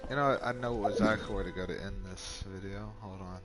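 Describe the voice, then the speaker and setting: Casting: male gaming host, lowish voice, unhurried, casual asides, game music behind. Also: fairly even intonation. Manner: informal, muttering to himself. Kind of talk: casual let's play commentary.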